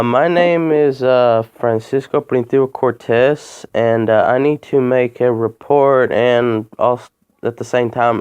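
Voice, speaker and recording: A man making a complaint by phone, talking in a halting, rambling way and repeating himself. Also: medium pitch, smooth. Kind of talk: phone call, informal account. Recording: muffled phone call recording.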